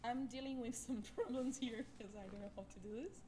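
A young woman in her twenties records a friendly, slightly nervous greeting into her cell phone. Her voice sits a little high, and she slips in small laughs. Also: brisk, warm tone, slight background noise. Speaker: duet partner.

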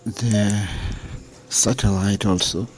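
A man from Chile with a Chilean Spanish accent, narrating an informal radio demonstration in a halting way on a handheld camera. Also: fairly low pitch, fairly even intonation. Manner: halting, with many pauses. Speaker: amateur radio operator and vlogger. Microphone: handheld camera's built-in microphone. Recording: noisy handheld camera audio.

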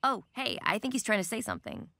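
A woman voicing a dry, gloomy teenage sorceress in a cartoon, gravelly and deadpan. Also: a little high, fast, no room sound, full character voice. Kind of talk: animated cartoon voice acting. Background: music.

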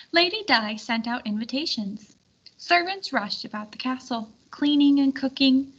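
A woman reading a storybook aloud as an expressive, animated, emphatic storyteller. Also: fairly high pitch, breathy edge, muffled recording. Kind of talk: expressive scripted storybook narration.

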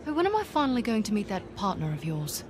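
A woman's voice: mid-range voice, huge pitch swings, fast, music in the background. An actress voicing a cool, probing adult woman in a video game, asking dry questions. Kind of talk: video game cutscene acting.

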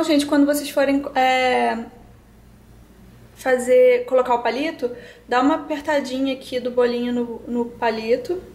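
High-voiced young woman in an echoey kitchen. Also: darkish tone, a little hiss or hum. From Brazil. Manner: chatty, explains each step to viewers. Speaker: cooking vlogger. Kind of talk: casual cooking tutorial. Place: home kitchen, indoors.

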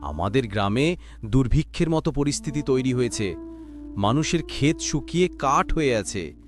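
A man's voice: medium pitch, animated, moderate pace, lightly acted. A man voicing a poor, honest young labourer in a moral-story cartoon, speaking earnestly and deferentially. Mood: pleading. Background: background music.

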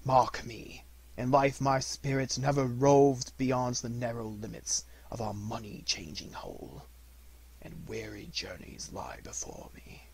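A man voicing the anguished ghost of a dead miserly businessman in a storybook, lamenting solemnly and slowly, from hushed to loud. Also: mid-range voice, steady tone, slight background noise, lightly acted, rough recording. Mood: grave, weary.

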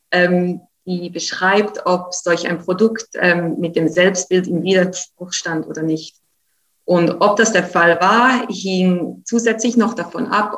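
Young woman from switzerland, lowish gravelly voice, lots of umms, video call talk. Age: young adult. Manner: hesitant, many fillers. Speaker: science slam presenter. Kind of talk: presentation over video call. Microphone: video call, computer mic.

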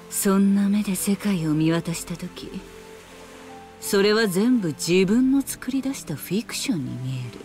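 An actress playing a sly, world-weary villainess in a Japanese anime game, cool and rough-spoken. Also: female voice, fairly low pitch, a little mellow.

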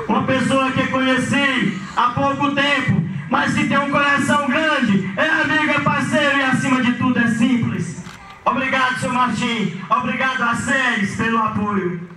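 A man's voice: very high voice, noisy background. A young man from Maranhão with a Brazilian accent, giving a declamatory speech outdoors at night, often raising his voice, on a handheld mic. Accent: Brazilian Portuguese, from Maranhão. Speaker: local community leader giving a speech. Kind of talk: outdoor public speech at a community event. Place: outdoors at night on a street, crowd around. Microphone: handheld mic into a PA system. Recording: echoey PA sound from a far camera, loud ambience.

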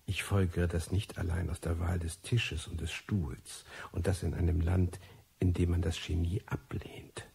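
An actor playing a disillusioned, reclusive manuscript reader, narrating a radio play in a brooding, ironic way with a husky, gravelly voice. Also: male voice, deep voice, flat delivery, unhurried, dark tone, full character voice. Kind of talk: scripted first-person radio play narration.

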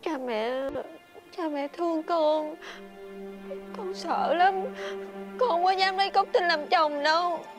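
A woman's voice: extremely high pitch, slow, faint music behind. A woman playing a frightened, devoted young daughter in a fairy-tale television drama, tearful and pleading, with a Southern Vietnamese accent.

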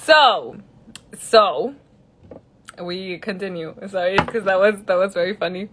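A woman's voice: medium pitch, unhurried, noisy background. A young woman from Mexico, chatting casually and giggling as she answers fans on a phone livestream from a large indoor room. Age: young adult. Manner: giggly, chatty, switches between English and Spanish. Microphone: phone camera at arm's length.

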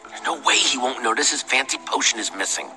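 A man's voice: mid-range voice, animated, fast talker, sharp tone, in a large hall, a little hiss or hum. An actor playing a pragmatic, wry young male adventurer and leader in a video game. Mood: wry, focused.

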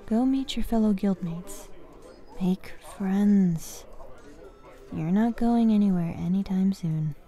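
A woman playing a bossy but caring young fighters guild master in an ASMR fantasy roleplay, soft-spoken and commanding. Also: mid-range voice, soft background music. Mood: firm. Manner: soft-spoken, commanding, frequent sighs and pauses.

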